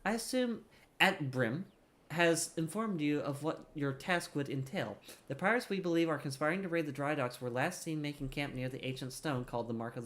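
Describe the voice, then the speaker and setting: A man voicing a dry-witted woman military captain in a video game, formal and brisk as orders are given. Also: on the high side, a bit bright.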